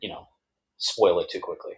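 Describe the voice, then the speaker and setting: A man chatting casually on a podcast, halting and often repeating words as he goes. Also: deep voice. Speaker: podcast producer and regular. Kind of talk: casual chat.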